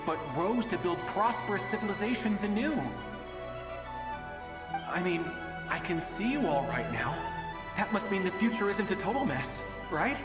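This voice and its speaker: Guy acting a tired doomed dad in a game, kinda high voice, reflective and resigned, music behind him. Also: close to an everyday voice. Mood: wistful. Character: weary doomed father from an ancient age, searching for his daughter. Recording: echoey hall reverb, music behind.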